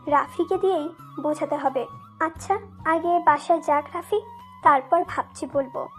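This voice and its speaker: Casting: woman to play anxious mother in a romance audio drama, extremely high voice, fretful, lamenting. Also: normal speed, a bit bright, soft background music. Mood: weary, troubled. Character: anxious mother fretting over daughter and family honour.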